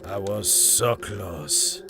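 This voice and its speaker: A man playing a brooding, obsessed French hunter and guide with a gravelly voice in a pulp adventure audio drama. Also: lowish voice, big pitch swings, drawn-out words, bright, forceful tone, soft background music, exaggerated voice.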